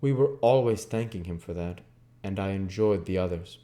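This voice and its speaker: Low, mellow man reading a novel aloud. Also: moderate pace. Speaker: reader of a video book series. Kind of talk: audiobook-style reading of a classic novel.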